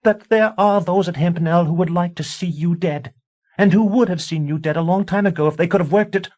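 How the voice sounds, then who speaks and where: A man reading an audiobook, voicing a frantic young wife who secretly practices witchcraft, shrill as she pleads and accuses. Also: normal speed, a little mellow. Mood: shrill, accusing.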